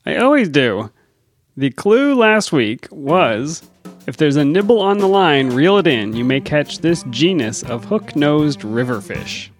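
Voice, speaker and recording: Man, Virginia accent, podcast co-host, wry, deadpan, faint guitar music behind. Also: a little high, measured pace, no room sound. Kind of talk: casual chat, reading a puzzle clue.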